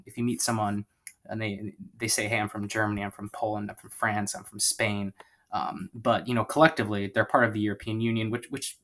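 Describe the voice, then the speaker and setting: A man's voice: on the low side, a bit bright. A man teaching a history lesson in a conversational, rambling way, with frequent fillers and asides. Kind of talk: classroom lecture.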